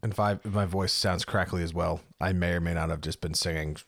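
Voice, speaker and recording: A man co-hosting a podcast in casual chat, playful and teasing, quick to argue back. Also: low-pitched, fairly even intonation, gravelly, fairly bright tone, dry recording, clean studio sound. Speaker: podcast co-host.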